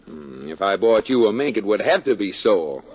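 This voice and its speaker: An actor playing an absent-minded, teasing young husband in a 1940s radio sitcom, with hesitant, joking delivery. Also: male voice, lowish voice, very animated, normal speed, heavy background noise. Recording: dull 1940s radio recording.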